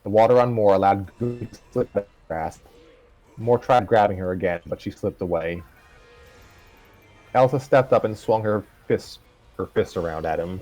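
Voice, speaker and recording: A man's voice: fairly low pitch, moderate pace, a little mellow, dry recording, faint music behind, low-quality recording. A man reading a fan story aloud on a livestream, casually, with stumbles and asides.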